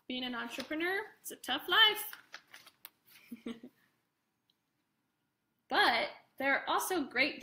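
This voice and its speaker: Bright, high-voiced woman reading a children's story. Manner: expressive, addresses young listeners directly; casual, informal commentary between passages. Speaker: children's author reading own book aloud. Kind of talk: animated picture-book read-aloud with chatty asides.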